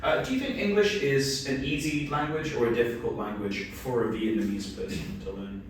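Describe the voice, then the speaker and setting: A man runs a speaking exam, asking his questions patiently and filling pauses with ums. His voice comes from a distance in a very echoey room. Faint background noise sits underneath. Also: medium pitch. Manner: patient, with frequent fillers. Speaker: speaking test examiner. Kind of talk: conducting a mock exam.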